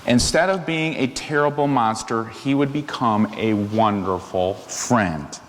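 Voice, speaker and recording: A middle-aged man reads a picture book to children in a big, echoing hall. He takes his time and drops in playful, chatty asides. His voice sits at an ordinary male pitch, with faint noise behind it. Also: measured pace. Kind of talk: animated read-aloud story time with asides to children. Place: large church hall, seated among children. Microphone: lavalier clipped to shirt.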